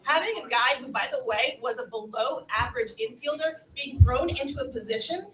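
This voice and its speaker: A woman's voice: sits high, expressive, fairly quick, a bit bright, far from the mic, a little hiss or hum. A woman on a live sports panel, debating emphatically and skeptically with repeated phrases and rhetorical questions. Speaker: baseball panelist. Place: live convention panel stage. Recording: echoey room, off mic.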